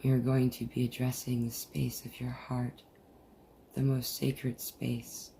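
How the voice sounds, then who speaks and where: A person leading a guided meditation in a soothing, deliberate manner with long pauses, recorded over a video call. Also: medium pitch, monotone, creaky, dark tone. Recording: noisy, muffled group video-call audio.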